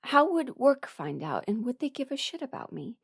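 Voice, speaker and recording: A young adult woman talking frankly and haltingly in a candid personal ramble, often quoting her own thoughts as she goes. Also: fairly high pitch, sing-song, vocal fry, breathy edge, dry recording, studio quality. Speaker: voice performer sharing a personal ramble.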